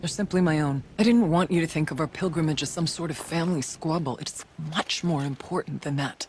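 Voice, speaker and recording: A woman's voice: deep voice, a little fast, close to an everyday voice. Woman playing a solemn, proud shamaness, noisy ambience. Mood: earnest, proud. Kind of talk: video game dramatic dialogue.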